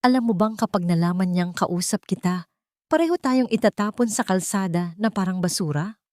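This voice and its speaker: A woman's voice: medium pitch, normal speed, a little mellow, no echo, natural voice. A greedy Filipino driver, played by a woman narrator, furtive and mocking. He is a wary accomplice in an audiobook melodrama, recorded dry.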